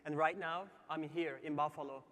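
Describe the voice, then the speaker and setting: A man from Turkey with a Turkish accent, delivering an emphatic startup pitch on a theatre stage. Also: fairly even intonation, normal speed, a bit bright. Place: large theatre stage before live audience. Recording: long reverberant theatre hall.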